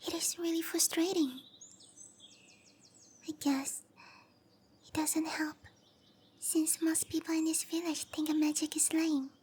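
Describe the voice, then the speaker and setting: A woman playing a shy, sweet, petite young cowgirl monster girl in an anime-style ASMR roleplay, bashful and hesitant, with a soft, breathy girlish voice. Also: very high voice, flat delivery. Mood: wistful, frustrated. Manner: bashful, hesitant, slow and gentle.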